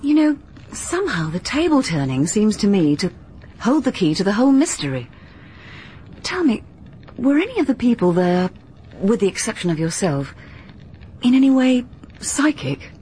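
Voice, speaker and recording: A woman's voice: mid-range voice, sing-song, normal speed, pauses often, mellow, a little hiss or hum, lightly acted. An actress playing an earnest, inquisitive young woman in a radio drama. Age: young adult. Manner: crisp, earnest questioning. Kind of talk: 1930s-style BBC radio drama.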